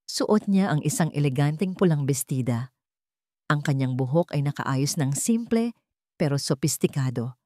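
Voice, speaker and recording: A woman narrates a scripted drama as a storyteller. Her voice is low for a woman, and the recording is dry with hardly any room sound. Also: lively intonation, moderate pace, mellow, no echo.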